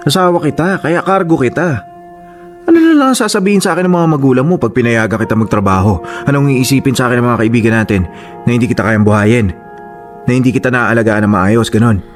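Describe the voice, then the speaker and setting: An actor with a Filipino accent playing a proud, stubborn young husband in a Tagalog radio drama. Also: male voice, medium pitch, quick pace, lightly acted. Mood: defensive. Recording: music under the voice.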